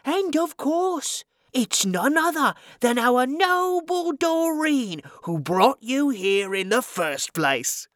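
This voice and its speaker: A woman's voice: high voice, sing-song, slow pace, few pauses, no echo, clean studio sound, pitched above the performer's own voice, heavily acted. A woman voicing a sarcastic, foul-mouthed male wizard in a fantasy audiobook, mocking and theatrical in delivery. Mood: mocking, accusing.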